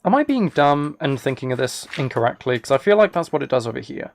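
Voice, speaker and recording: A man with a mid-pitched voice and plenty of fry chats casually as he streams a game. He thinks aloud in hesitant, polite fragments, trailing from one small thought to the next. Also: animated, fast. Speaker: live streamer. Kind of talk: casual livestream chat while playing a video game.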